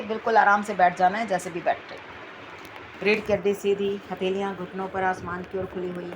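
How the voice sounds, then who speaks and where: A woman leading a guided meditation, giving gentle instructions with repeated phrases and long pauses. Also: medium pitch, background noise.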